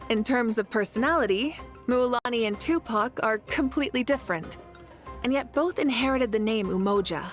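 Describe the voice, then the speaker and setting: A woman playing a calm, confident young warrior leader in a video game, speaking in a measured, thoughtful and dignified way. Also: a little high, close to an everyday voice. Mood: amused, then reflective. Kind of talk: scripted game character dialogue. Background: music.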